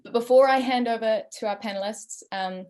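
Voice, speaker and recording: A woman's voice: a little high, fairly quick, darkish tone. A young woman with a New Zealand accent hosting an online panel, speaking earnestly with frequent ums. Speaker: panel host. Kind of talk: webinar introduction and opinion.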